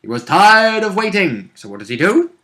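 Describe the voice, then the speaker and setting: A man chatting casually as a tabletop game player, jokey and animated, with slangy asides. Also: high-pitched, normal speed. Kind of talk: casual chat.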